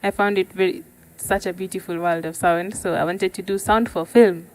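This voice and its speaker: A woman's voice: fairly low pitch, steady tone, normal speed, darkish tone, low-quality recording. A woman with a Kenyan accent telling her story casually as an interview guest. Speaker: guest, sound engineer and musician.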